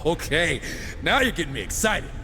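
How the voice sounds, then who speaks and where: A man's voice: on the high side, very animated, normal speed, background noise. An actor playing a sarcastic, irreverent horseman warrior in a video game, with a flippant, casual, sardonic delivery. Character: wisecracking, sarcastic, irreverent gunslinging horseman brother. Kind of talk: video game cutscene acting.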